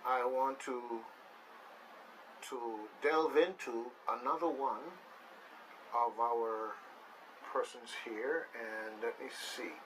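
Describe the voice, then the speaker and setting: A man's voice: medium pitch, fairly bright tone. A man hosting a radio talk show in a casual, rambling, emphatic way, often repeating phrases. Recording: slightly distant mic with faint noise.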